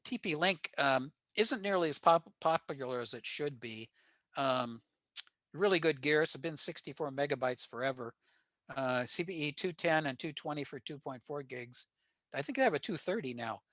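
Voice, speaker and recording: Bright, forceful American man presenting. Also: fairly high pitch, animated, moderate pace, no room sound. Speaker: presenter at a ham radio club meeting. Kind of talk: informal technical slide presentation.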